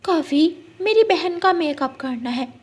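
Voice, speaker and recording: Woman as a high-voiced, insistent female eagle. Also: lively intonation, measured pace. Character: bossy female eagle with a request.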